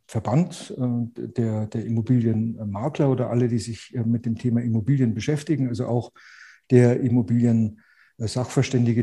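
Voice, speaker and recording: A man with a Bavarian accent speaks as a podcast guest, in a very dark, mellow voice. He is outspoken but hesitant, restarting his sentences often. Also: mid-range voice. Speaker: real estate agent, interview guest. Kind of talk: podcast interview.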